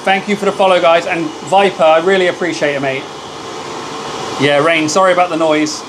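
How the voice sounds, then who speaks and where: A man with a loud voice, hosting a casual live stream. He chats with viewers and thanks them by name while he works at a laser engraver. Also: on the high side, fairly even intonation, fairly quick, noisy background.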